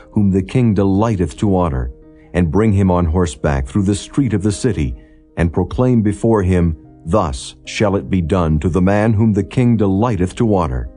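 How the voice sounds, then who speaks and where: Deep-voiced man narrating scripture over music. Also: warm tone. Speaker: audiobook narrator. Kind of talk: scripted scripture narration, non-dramatized reading. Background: background music.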